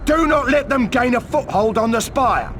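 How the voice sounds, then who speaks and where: An actor playing a frantic soldier officer shouting urgent battle orders in a game cutscene. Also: male voice, very high voice, lively intonation, moderate pace, very noisy.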